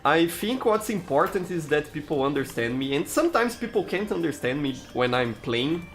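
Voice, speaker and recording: A young man from Brazil speaks English with a Brazilian Portuguese accent, his voice sitting a little high. He talks through a live game in a loose, chatty way, often restarting phrases. Faint music plays behind him. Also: moderate pace. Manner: relaxed, chatty, frequent restarts and "you know". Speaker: streamer. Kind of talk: live gameplay commentary reading chat.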